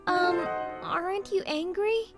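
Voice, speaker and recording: A woman's voice: very high voice, fairly even intonation, slow, faint music behind. A woman playing a cheeky, overconfident young office junior in an English manga dub. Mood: subdued.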